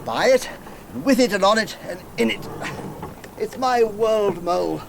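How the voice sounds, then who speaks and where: An actor playing a jovial, dreamy, gentlemanly water rat who relishes every word in a radio play. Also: male voice, sits high, unhurried, vocal fry. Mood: dreamy, enthusiastic. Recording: noisy old radio broadcast tape.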